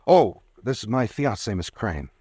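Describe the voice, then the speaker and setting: A jittery scheming pharmacist. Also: male voice, lowish voice, expressive, mellow, husky, dry recording, clean studio sound. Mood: guarded. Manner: tense, jittery, fast when scheming. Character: nervous young male pharmacist, scheming. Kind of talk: 1940s radio acting.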